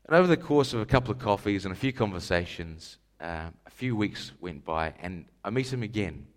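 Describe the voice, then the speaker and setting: A man's voice: low-pitched, lively intonation, fairly quick. A man from Scotland, preaching a sermon in an emphatic style with rhetorical questions. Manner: emphatic rhetorical questions, rising to stressed lists.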